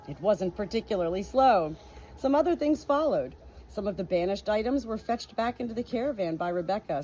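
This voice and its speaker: Slightly husky woman reading a story. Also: medium pitch, darkish tone, low-quality recording. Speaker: audiobook reader. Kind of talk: scripted storybook narration.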